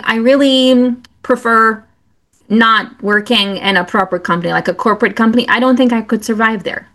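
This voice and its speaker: A woman's voice: a little high, moderate pace, a bit bright. A woman from Romania, hosting an interview and speaking directly and frankly. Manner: direct, frank, fillers like "like" and "you know". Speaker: podcast host. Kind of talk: interview questions, casual chat.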